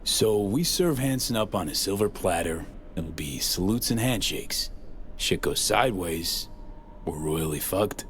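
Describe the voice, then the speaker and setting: A street-smart mercenary man with a very deep voice. He talks in terse, slangy bursts, guarded and sardonic, in cinematic game style. Slight noise sits behind him. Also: lively intonation, unhurried, fairly bright tone. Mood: wary, probing. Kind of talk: cinematic game acting, casual slangy dialogue.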